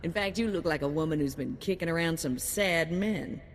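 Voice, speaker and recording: An actress playing an excitable, brash young female sidekick in a video game, eager in her delivery. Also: low-pitched, moderate pace. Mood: excited. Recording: noisy ambience, long reverb.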